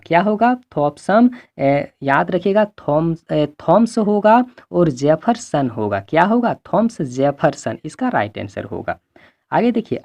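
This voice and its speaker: A man's voice: high-pitched, warm tone. A male teacher from the belt, giving an exam revision lesson, repeating himself and answering his own questions. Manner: repetitive, asks then answers, frequent check-in tags. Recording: dull lo-fi microphone sound.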